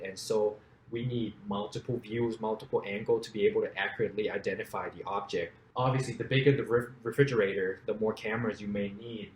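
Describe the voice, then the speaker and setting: A young man from Thailand, a startup co-founder, explaining his product in a steady business pitch. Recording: roomy echo, faint background noise.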